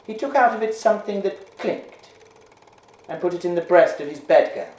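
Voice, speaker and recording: A man giving a measured, hushed dramatic reading of a ghost story. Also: high voice, expressive. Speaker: reader. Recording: roomy echo.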